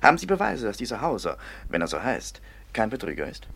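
An actor playing a sceptical police commissioner in a radio drama, formal, dry and needling. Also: male voice, mid-range voice, wildly swooping pitch, quick pace, creaky, fairly bright tone, breathy edge, heavily acted. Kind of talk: German radio drama acting.

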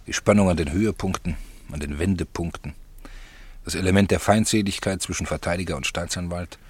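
Idle curious novelist, low husky voice, musing literary delivery, radio drama. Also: male voice, brisk. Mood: musing, keen. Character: urbane, idle crime novelist, curious and romantic. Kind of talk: German radio drama acting.

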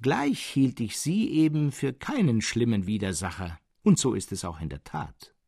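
A man acts out a serene but mad hermit monk in a literary audiobook. The voice is husky and moves in wide, animated arcs, eloquent and insistent as it argues with calm conviction. It sounds close and dry. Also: mid-range voice, moderate pace, no echo, full character voice. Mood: gentle, dignified. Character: serene, mad male hermit believing himself a martyred monk. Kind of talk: dramatic literary audiobook reading.

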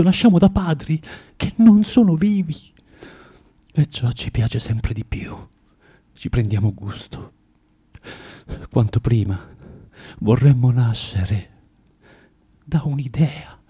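An actor playing a bitter, proud, self-loathing recluse in a theatrical radio drama, with a volatile, declamatory and halting delivery. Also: male voice, fairly high pitch, expressive, warm tone, breathy edge, heavily acted. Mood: bitter, reflective.

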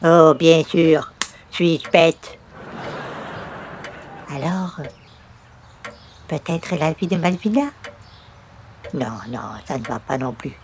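A man's voice: on the high side, slow pace, pauses often, a little hiss or hum. A man playing an overly polite, naive and pious door-to-door visitor, ceremonious and exclamatory, in old-time radio comedy. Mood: eager.

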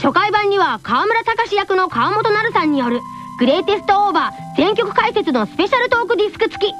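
A woman's voice: extremely high pitch, a bit bright, full character voice. A woman voicing an earnest, cheerful little schoolboy who presents scripted radio announcements in anime style.

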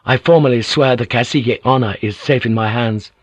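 A man's voice: mid-range voice, brisk, dry recording, close to an everyday voice. A man voicing a deferential, cautious samurai nephew and heir, humble and formal, in an audiobook, slightly muffled. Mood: humble gratitude. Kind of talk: audiobook character acting.

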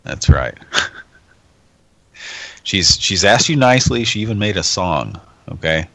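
A man hosting a live show in rambling, halting chat, stuffed up from a cold. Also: deep voice, very animated, bright, forceful tone, no echo. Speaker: host.

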